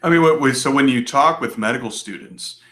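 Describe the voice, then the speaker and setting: A man with a bright, crisp-edged tone hosts a casual interview. He poses questions informally, rewording them midway as he works toward his point. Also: mid-range voice, a little fast. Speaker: interviewer, moderator.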